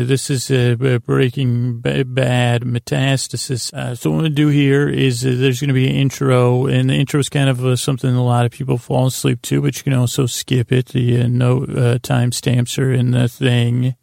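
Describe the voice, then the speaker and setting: A man with a creaky, gravelly voice hosting a bedtime podcast, rambling in a halting, meandering way with stammered tangents and pauses to lull listeners to sleep. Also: mid-range voice, flat delivery, darkish tone, dry recording, clean studio sound.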